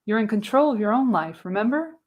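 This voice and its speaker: A woman's voice: fairly high pitch, animated, fairly quick, muffled recording. Radio play role: older female seer, folksy, wry, knowing, acted by a woman at a table read. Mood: reassuring.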